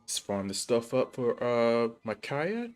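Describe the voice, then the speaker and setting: A man's voice: medium pitch, very animated, slow pace, clean tone, faint music behind. A man live streaming a game, chatting casually in a rambling, slangy and hesitant way. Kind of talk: casual gaming livestream chat.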